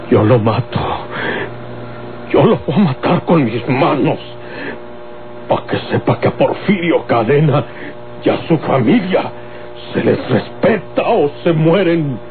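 A man's voice: medium pitch, very animated, measured pace, long pauses. A man playing a proud, vengeful Mexican outlaw in a radionovela, with a rustic, emphatic, threatening delivery. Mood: menacing. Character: proud, vengeful male outlaw, menacing family avenger. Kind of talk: Mexican golden-age radionovela acting. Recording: tinny old radio, music underneath.

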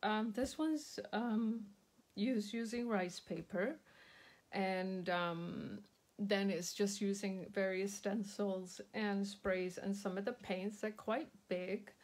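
A woman leads a live crafting session at her indoor table, greeting viewers as she works. Her throat is a little sore, and she speaks slowly and casually, filling pauses with ums. Her pitch sits at an ordinary middle level. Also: fairly even intonation, a little mellow. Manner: slow, chatty, many ums, greets viewers by name. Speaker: craft demonstrator hosting a live stream.

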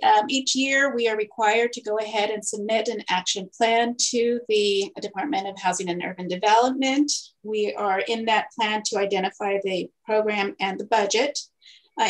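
A woman in her thirties, a city housing manager, presenting a staff report over a video call in a clear, methodical way. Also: medium pitch, poor audio. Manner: clear, methodical, reads figures steadily.

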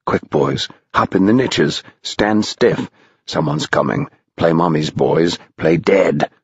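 A man voicing a sly, dry old spirit guide in a storybook, commanding and conspiratorial, with a hushed, deliberate manner. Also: low voice, slightly rough, no room sound, muffled recording, heavily acted. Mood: brisk, conspiratorial. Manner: hushed, deliberate, addressing boys as lads.

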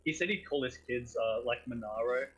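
A young man from the United States streams a game and banters with friends, swearing and mimicking people with animated delivery. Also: mid-range voice, normal speed, dull audio. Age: young adult. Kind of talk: casual banter.

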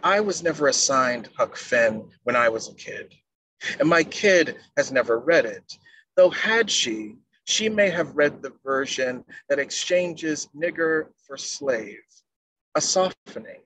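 A middle-aged man giving a measured poetry reading as a poet on a video call. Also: medium pitch, expressive, a bit bright. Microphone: wired earphone mic on a video call. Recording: noisy video-call audio.

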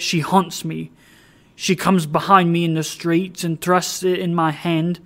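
A reader voicing a drowsy, dissipated man who speaks in a slow, brooding way. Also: mid-range voice. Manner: slow, brooding, louder than narration.

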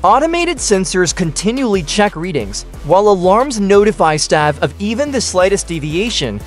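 A man narrates a scripted industrial documentary with a high, lively voice that swings widely in pitch. He lays out each step in steady, measured phrases. Soft music plays faintly beneath him. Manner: steady explanatory delivery in short listed phrases. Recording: voice-over with faint background music.

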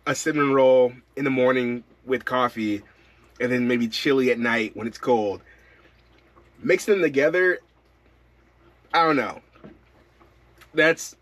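A man in his thirties talks casually to camera from inside his camper van. His voice sits at an ordinary middle pitch, and he rambles along in an easygoing way, full of likes and ums. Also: no room sound. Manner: relaxed, rambling, with frequent fillers. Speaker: van-life vlogger.

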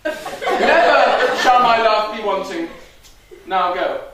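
A man's voice: sits high, very animated, slow, full character voice. Guy playing an oily melodrama villain, declaiming on stage, echoey hall, mic way back in the crowd. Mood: smooth. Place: small theatre stage. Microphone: far camera in audience. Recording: echoey hall, far-off mic, audience noise.

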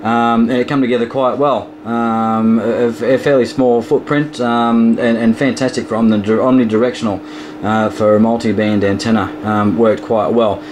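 A man's voice: medium pitch, flat delivery, moderate pace, a little mellow. A man chatting casually as an amateur radio operator during a contact. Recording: clearly noisy room recording by radio.

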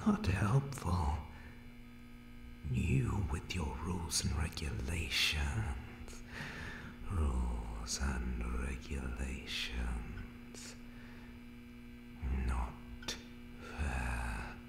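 Creepy monster barber, low creaky whisper, obsessive broken muttering, horror asmr. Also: male voice, very slow, pauses often, echoey room, background noise, full character voice. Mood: brooding, menacing.

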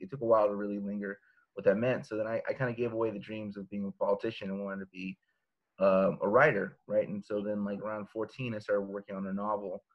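A man's voice: on the low side, dull audio. A man in his thirties from Los Angeles, a guest author talking casually over a video call from his living room, rambling with many fillers and restarts. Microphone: laptop webcam video call.

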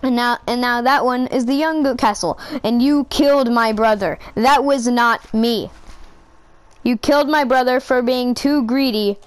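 A woman voicing a boastful, taunting old goat villain who is now a giant T-Rex, in a high-pitched voice in an amateur game machinima. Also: measured pace, clear, resonant tone, dry recording, lightly acted.

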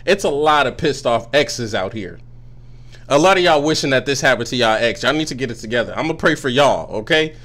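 A man's voice: mid-range voice, lively intonation, brisk, a bit bright. Young man giving slangy, laughing reaction commentary. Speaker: music reaction YouTuber. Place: small room indoors. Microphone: studio mic on a boom, headphones on.

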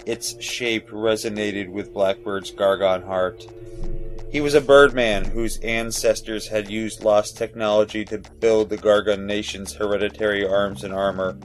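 A man's voice: fairly low pitch, steady tone, moderate pace. A man reading scripted, dramatic fantasy narration for an audio drama. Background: music.

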